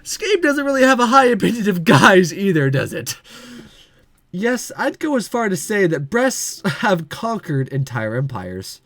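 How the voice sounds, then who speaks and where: A man's voice: sits high, big pitch swings, unhurried, a bit bright, no room sound. A man hosting a visual novel playthrough, talking casually and jokingly with lots of fillers and asides. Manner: rambling, joking, many fillers. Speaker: let's play host.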